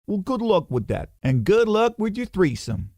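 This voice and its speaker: A man's voice: sits high, very animated, moderate pace, gravelly, mellow, dry recording, clean studio sound, voice pushed up, heavily acted. A man playing a cocky, womanizing male best friend who banters brashly in a comedy radio play.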